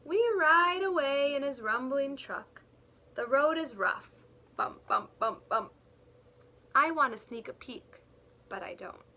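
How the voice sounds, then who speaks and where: A woman teacher reading a picture book aloud to young children, expressively and deliberately, pausing to explain things in simple words. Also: high voice, expressive, pushed and bright. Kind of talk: read-aloud storytelling with explanatory asides.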